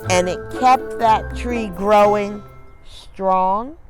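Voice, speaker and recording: Woman reading a children's story over soft music. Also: medium pitch. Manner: measured, deliberate phrasing with dramatic pauses. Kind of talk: expressive picture-book read-aloud for children.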